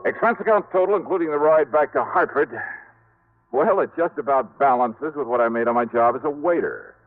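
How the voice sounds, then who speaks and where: A man's voice: a little high, fairly quick, lightly acted. An actor playing a male insurance investigator who wryly sums up his expenses in a 1950s radio drama. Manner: dry, wry. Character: wry male insurance investigator signing off a report. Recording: tinny old radio recording with music.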